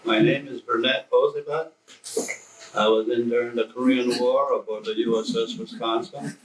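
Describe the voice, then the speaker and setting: A man's voice: mid-range voice, fairly even intonation, moderate pace, slight background noise. A man in his nineties, a veteran guest, speaking hesitantly and stopping to search for words. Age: 90s. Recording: echoey room, mic at a distance.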